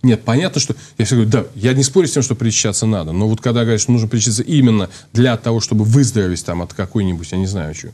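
A man's voice: fairly low pitch, racing, few pauses, dark tone. A man in his thirties, a priest, explaining at length on a studio talk show, thinking aloud with many restarts and fillers. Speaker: priest guest. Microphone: lapel.

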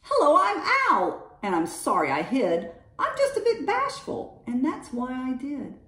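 A woman puts on a bashful storybook owl, high and hooting. The timid words stretch out slowly and swing in pitch in an echoey room. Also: very animated, a bit bright, heavily acted. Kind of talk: children's storybook read-aloud. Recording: roomy, echoing space.

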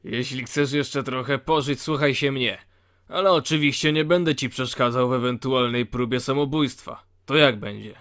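Guy playing a wry blunt prisoner in a fantasy audio drama, normal pitch, sardonic. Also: moderate pace, a bit bright, lightly acted. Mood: blunt, matter-of-fact. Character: blunt, wry male convict in a penal colony.

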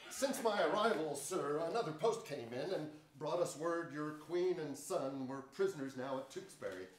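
A man's voice: a little high, moderate pace, natural voice. A man acting a proud, bluff royal officer in a staged verse drama, with emphatic theatrical declamation. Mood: measured, reporting. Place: large theatre stage, indoors. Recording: echoey theatre, far from mic.

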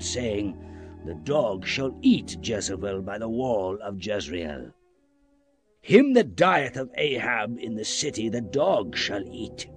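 An actor playing a stern, wrathful prophet, declaiming doom solemnly in a Bible drama. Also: male voice, on the low side, full character voice. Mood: grim.

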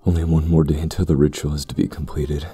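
A man voicing an ominous, brooding ritualist in a fantasy audio drama, with a gravelly, husky voice and a solemn, portentous manner. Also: very deep voice, little pitch movement, fast, dark tone, soft background music, heavily acted. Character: ominous brooding male ritualist addressing his estranged sister.

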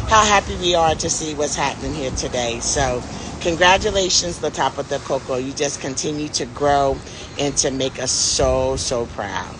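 A woman's voice: very deep voice, moderate pace, background noise. A middle-aged woman hosting a casual live selfie video outdoors on a city street, speaking enthusiastically and calling her viewers y'all, recorded on a phone held at arm's length. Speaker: vlog host and tour organizer. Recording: music playing clearly behind voice. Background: music.

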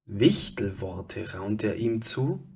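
A man narrating a children's story as storyteller, in a hushed, expressive manner. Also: on the low side, takes it slowly, vocal fry, breathy edge.

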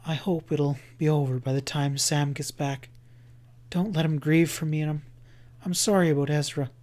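A man's voice: on the high side, fairly even intonation, moderate pace, natural voice. A man reading the part of a feverish young woman in labor, talking faintly and pleadingly. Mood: fervent, pleading. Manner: soft, pleading, breathy and faint.

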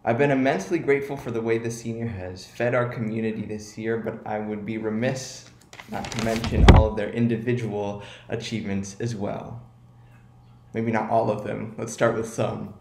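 A young man with a fairly deep voice gives a prepared award tribute. The sound is roomy and echoey, picked up a few feet away in a living room. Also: fairly even intonation, normal speed, gravelly, darkish tone. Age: 20s. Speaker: award presenter. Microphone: camera a few feet away.

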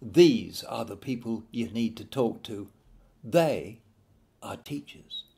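British man, wry, slow, animated narration. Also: lowish voice, creaky. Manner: wry, theatrical, emphatic phrasing with deliberate pauses. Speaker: author reading own book. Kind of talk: scripted audiobook narration of a satirical guide.

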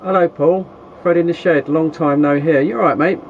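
A man's voice: fairly high pitch, steady tone, moderate pace. Chummy southern English man, muffled sound. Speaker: vlogger and CB radio hobbyist. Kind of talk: casual vlog chat. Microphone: handheld camera. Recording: dull, noisy handheld camera audio.

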